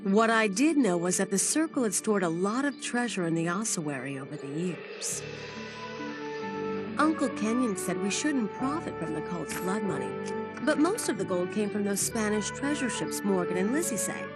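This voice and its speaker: Resolute American adventurer heroine played by an actress. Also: female voice, medium pitch, big pitch swings, moderate pace, over loud music, lightly acted. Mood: reflective, wry. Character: determined, dry-witted American adventurer heroine and narrator. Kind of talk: dramatic video game voice acting and narration.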